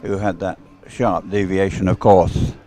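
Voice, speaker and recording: Low, mellow male race commentator. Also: little pitch movement, a little slow. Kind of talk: live sports commentary, off the cuff.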